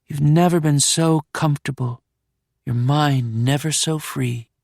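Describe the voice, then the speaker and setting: A man voicing a calm female hypnotist who speaks in a slow, soothing, hypnotic way. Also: fairly high pitch, gravelly, no echo, clean studio sound, voice pushed up. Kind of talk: hypnotic induction.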